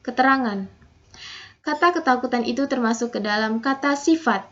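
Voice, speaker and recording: A young woman with a high voice works through her prepared presentation in a steady, methodical way, reading out example sentences and breaking them down one by one. The recording is dull and lo-fi. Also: moderate pace. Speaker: student presenting a final assignment.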